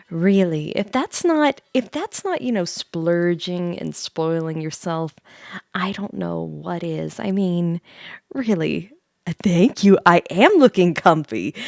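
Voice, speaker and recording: A woman chatting softly on an ASMR livestream, hushed and often slipping into whispers, halting and repeating her phrases, close to the microphone. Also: fairly low pitch, dry recording. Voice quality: soft, breathy whisper mixed with hushed talk. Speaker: livestream host, VTuber. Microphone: close studio microphone, mouth sounds audible.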